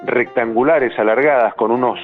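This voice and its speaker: A man's voice: mid-range voice, moderate pace, faint music behind. A radio announcer, a man, recalling and explaining things conversationally, correcting himself as he goes. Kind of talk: conversational recollection. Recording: dull dry lo-fi sound.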